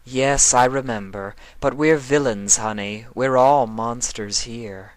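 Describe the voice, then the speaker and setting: A man's voice: mid-range voice, takes it slowly, no echo, pitched above the performer's own voice, close to an everyday voice. A man voicing a regal, villainous female winged unicorn with a condescending, theatrically mocking manner. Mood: sly, smug. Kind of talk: fan fiction character voice.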